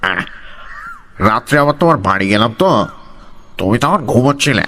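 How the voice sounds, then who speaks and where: A man's voice: mid-range voice, animated, normal speed, pushed and bright, slight background noise. A man playing a creepy, sly schoolmaster in an audio horror story, falsely friendly and full of coaxing, probing questions.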